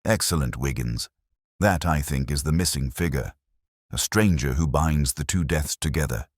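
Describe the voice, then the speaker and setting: Audiobook sleuth, played by a male narrator: extremely low male voice, intense, commanding, deliberate and rhetorical, dry close sound. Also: slightly rough, no room sound. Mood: urgent. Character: brilliant, intense male detective, commanding and cryptic.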